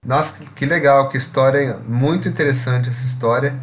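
A man hosting a podcast, reading sponsor plugs and shout-outs and then interviewing a guest in a friendly way. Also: medium pitch, a little fast, noisy background. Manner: friendly, upbeat promo reading. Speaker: podcast host.

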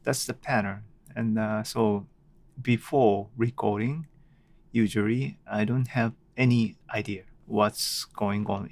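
A Japanese man with a Japanese accent hosting his own solo podcast, thinking aloud unscripted with many pauses and fillers, recorded at home on a desk microphone. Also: lowish voice, no room sound. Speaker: podcast host. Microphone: desk microphone at a computer.

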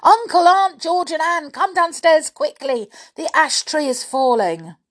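A woman voicing an urgent, impatient young boy shouting warnings in a storybook reading. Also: sits high, measured pace, voice pushed up. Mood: frantic alarm.

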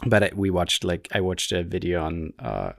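A man's voice: sits low, big pitch swings, normal speed, vocal fry, no echo, clean studio sound. A man from Austria co-hosting a podcast, chatting casually in a rambling, self-mocking way. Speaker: podcast co-host. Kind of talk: casual chat.

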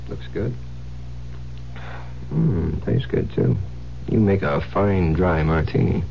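A weary, easygoing husband in a vintage radio drama, low and very slow with a gravelly creak. His sleepy murmur drifts up and down on a dull old recording. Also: expressive, slight background noise, exaggerated voice. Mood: relaxed, weary. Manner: slurred, drawn-out, sleepy murmuring.

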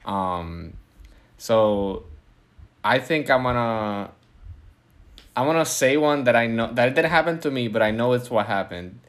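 A man's voice: mid-range voice, unhurried, pauses often. Laid-back young man with a Puerto Rican accent. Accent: Puerto Rican Spanish. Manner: relaxed storytelling, laughs often. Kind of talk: casual conversation.

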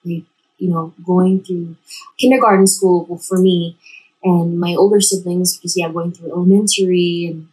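Very husky woman, halting guest. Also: on the low side, steady tone, darkish tone, poor audio. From Dubai-born Filipino. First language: Tagalog. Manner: halting, many restarts and hedges. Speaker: podcast guest. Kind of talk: casual interview chat.

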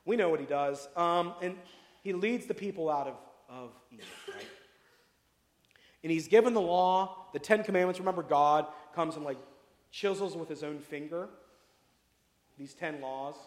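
A pastor preaching a casual sermon, chatty and jokey, full of asides and questions to the congregation. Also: male voice, a little high, animated, normal speed, long pauses. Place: church sanctuary. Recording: echoey hall with long reverb.

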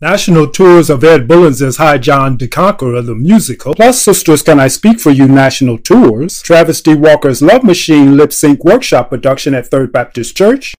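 A man's voice: fairly high pitch, animated, normal speed, hardly any pauses, a little mellow, soft background music. An older man telling the story of his own career in scripted narration as a storyteller.

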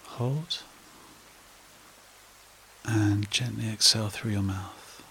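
A man leading a guided meditation and hypnosis in a hushed, soothing, repetitive way with long pauses. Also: fairly low pitch, fairly even intonation, vocal fry, noisy background.

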